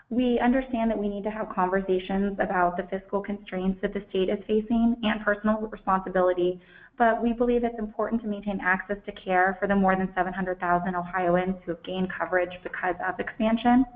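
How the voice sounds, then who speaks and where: Woman from Ohio, webinar guest speaker, scratchy from a cold, earnest, heard over a tinny phone line. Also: mid-range voice. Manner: measured, earnest, some ums. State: has a cold, losing her voice. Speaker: guest speaker, hospital association advocacy director. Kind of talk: webinar presentation.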